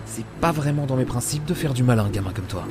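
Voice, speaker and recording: A man's voice: mid-range voice, expressive, fast, lightly acted. Man playing a world-weary, grumbling adventurer over music. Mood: calm, firm. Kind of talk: audio drama acting with inner monologue.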